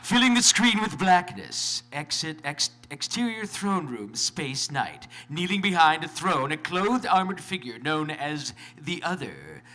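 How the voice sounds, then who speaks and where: A man's voice: on the high side, big pitch swings, measured pace, few pauses, pushed and bright. A man narrating stage directions dramatically at a live convention reading, with playful asides. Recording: live convention stage, clear background noise.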